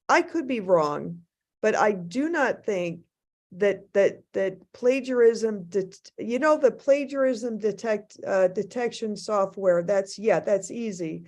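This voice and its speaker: A woman's voice: mid-range voice, expressive, slow pace, darkish tone. A woman speaking conversationally as a panelist in a casual discussion on a video call. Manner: conversational, light laughter.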